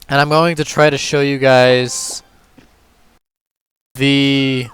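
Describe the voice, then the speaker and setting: A man with a hoarse, tired voice, casually talking through a puzzle game move by move as a gaming channel host. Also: mid-range voice, extremely slow, poor audio. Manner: relaxed, talks through moves step by step. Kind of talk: casual gameplay commentary.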